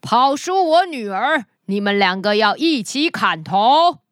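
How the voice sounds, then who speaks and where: A woman voicing a stern fairy-tale king, threatening and commanding, pronouncing his decrees deliberately. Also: fairly high pitch, sing-song, clear, resonant tone, no room sound, studio quality.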